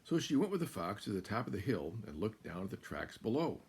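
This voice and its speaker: An older American man reading a children's picture book aloud, deliberate and expressive, with pauses. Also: on the low side, creaky, husky. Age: older, about 70s. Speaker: guest storyteller. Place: small room at home, indoors.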